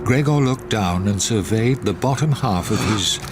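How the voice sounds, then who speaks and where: A man narrating a radio drama as a wry, observant storyteller, in measured literary phrases. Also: fairly low pitch, lightly acted. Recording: noisy track with ambience.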